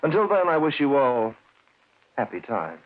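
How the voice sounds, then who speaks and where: An actor voicing a personified clock who narrates the story with a theatrical, lilting delivery. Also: male voice, medium pitch, big pitch swings, normal speed, lightly acted. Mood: wry genial. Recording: tinny, faintly hissy old radio recording.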